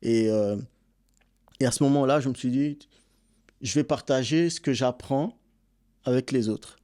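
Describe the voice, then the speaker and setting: A Belgian man, a boxing coach and content creator, telling his story in a relaxed, easygoing way as a podcast guest. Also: mid-range voice, measured pace. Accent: Belgian French. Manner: relaxed storytelling, many fillers. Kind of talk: casual interview.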